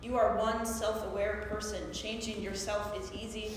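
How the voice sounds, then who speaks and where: A young woman on stage playing an earnest, geeky woman obsessed with physics in an animated, wistful monologue. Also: medium pitch, moderate pace, lightly acted. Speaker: actor in a staged play reading. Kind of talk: theatrical monologue, explanatory. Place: live staged reading at a conference. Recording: very echoey hall, faint noise.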